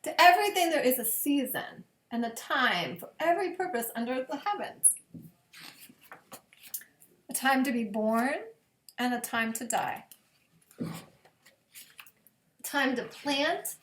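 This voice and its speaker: Slow-paced woman reading in an echoey room. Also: sits high, lively intonation, fairly bright tone. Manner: measured, deliberate phrasing with long pauses. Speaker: pastor reading bedtime stories for children. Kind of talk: gentle read-aloud of scripture picture books.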